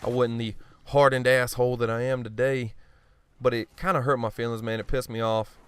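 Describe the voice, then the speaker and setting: A man giving casual gameplay commentary, blunt and profane, rambling and joking at himself, often clearing his throat. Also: medium pitch, little pitch movement, fairly quick, dry recording. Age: adult. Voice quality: smooth voice. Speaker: gaming commentator.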